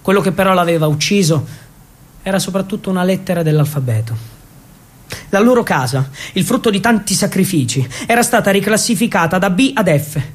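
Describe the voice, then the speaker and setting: High-voiced man, theatrical storyteller. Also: animated. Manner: theatrical, with deliberate repetitions and ironic asides. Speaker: actor and storyteller. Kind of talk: dramatic narrative theatre monologue.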